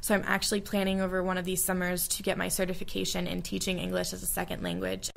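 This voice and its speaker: A woman's voice: lowish voice, completely flat delivery, quick pace, vocal fry. A young woman from the United States, a study abroad alumna, giving earnest, heartfelt reflections in an interview, with frequent fillers. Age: young adult.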